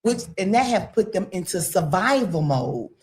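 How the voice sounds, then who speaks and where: A woman with a deep voice, talking emphatically and preachily as a guest on a casual panel show. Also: expressive, moderate pace, darkish tone. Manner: emphatic, preachy, talks straight to women. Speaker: podcast guest.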